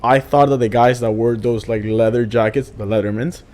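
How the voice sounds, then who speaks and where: A man's voice: medium pitch, fairly even intonation, normal speed, darkish tone. A man, a podcast guest chatting casually and rambling with lots of fillers. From Venezuela. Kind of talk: casual interview chat.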